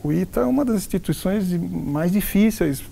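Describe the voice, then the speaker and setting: An older man from São Paulo recounts his life in a soft, halting way, doubling back on his words. His voice is gravelly and mellow, fairly high for a man, picked up by a lapel mic in a quiet office. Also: lively intonation. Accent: Paulistano Brazilian Portuguese. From São Paulo, Brazil. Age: 60s. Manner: halting, frequent restarts and self-corrections. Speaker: interviewed professor. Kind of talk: reflective life-story interview. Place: furnished office with wooden cabinets, indoors.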